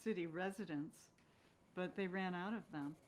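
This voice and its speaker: Woman w a lowish voice, guest on an interview, just chatting, candid and kinda second-guessing herself. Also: moderate pace, slight background noise. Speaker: guest actress. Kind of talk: casual interview chat.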